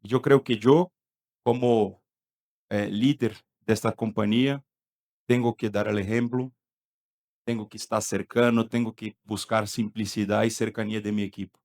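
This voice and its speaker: A middle-aged man speaks with a Brazilian accent, shaped by his native Portuguese. His voice sits on the low side. He delivers panel remarks in the role of a company executive. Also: steady tone, moderate pace, darkish tone.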